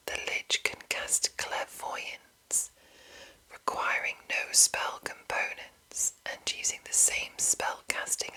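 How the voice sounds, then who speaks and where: A woman whispering in a breathy, unvoiced ASMR style, reading deliberately with pauses between short phrases. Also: pushed and bright, low-quality recording. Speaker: ASMR host. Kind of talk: whispered ASMR reading of fantasy game rules.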